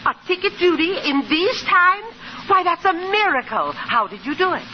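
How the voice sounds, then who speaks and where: A woman's voice: very high-pitched, sing-song, normal speed. An actress playing a genteel, fussy, motherly older aunt with proper diction in a 1940s radio comedy. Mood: astonished. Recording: muffled hissy 1940s radio recording.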